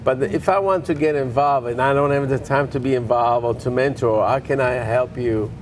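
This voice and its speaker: A man's voice: mid-range voice, normal speed, slight background noise. A man hosting a cooking show, chatting playfully with guests and speaking English as a second language. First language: not English. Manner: playful, hesitant with fillers. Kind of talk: casual chat and cooking demo.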